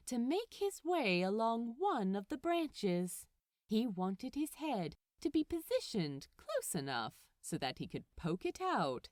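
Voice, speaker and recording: A woman reading a story aloud as narrator, with expressive, deliberate phrasing. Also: mid-range voice, no room sound, clean studio sound.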